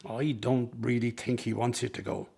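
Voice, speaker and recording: An actor plays a sly circus man in a radio play, a smooth talker with a medium-pitched voice. He coaxes and persuades, ingratiating himself as he schemes. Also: flat delivery, moderate pace, dark tone. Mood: persuasive, scheming. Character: smooth-talking, scheming circus man, mother's old flame. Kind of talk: dramatic radio play dialogue.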